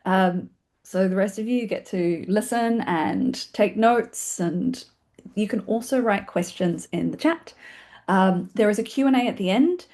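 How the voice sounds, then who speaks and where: Female webinar host, New Zealand accent, mid-range voice, informal and chatty, quick-talking presenter style. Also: mid-range voice, a little mellow. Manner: fast-talking, chatty, self-deprecating, questioning okay check-ins. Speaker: webinar host and film community organiser. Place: online video call.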